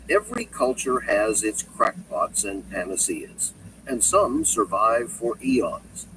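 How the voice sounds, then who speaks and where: A male narrator reads scripted nonfiction with very animated, wide-ranging intonation at a medium pitch. His delivery is measured, articulate and emphatic. The mic sounds distant, with faint noise in the recording. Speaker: audiobook narrator.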